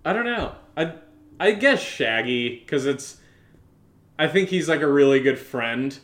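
Jokey, animated man in casual chat. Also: a little high, a little slow. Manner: teasing, jokey, self-deprecating; animated, gets louder. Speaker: co-host of a horror audio drama podcast, answering listener questions.

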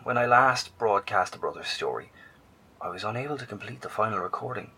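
A man's voice: lowish voice, lively intonation, brisk, pushed and bright, slightly rough, exaggerated voice, rough recording. An actor playing a grave, hesitant male broadcaster who talks to survivors and often drops to a whisper. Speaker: narrator.

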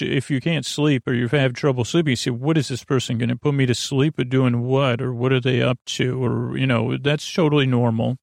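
A man hosting a bedtime sleep podcast in creaky, lulling tones, rambling haltingly and often interrupting himself with digressions. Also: medium pitch, no echo, clean studio sound. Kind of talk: rambling, meandering bedtime monologue.

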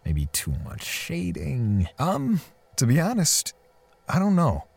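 A man playing a bitter, defensive portrait artist in an audio drama, speaking haltingly with sighs and pauses. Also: a little high, wildly swooping pitch, gravelly, darkish tone, slightly rough, full character voice. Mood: weary, brooding. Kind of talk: audio drama dialogue and first-person narration.

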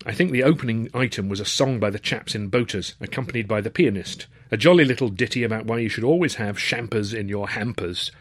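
A man's voice: fairly low pitch, no room sound. A man narrating a comic novel in the first person, with wry, literary phrasing. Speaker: author and narrator.

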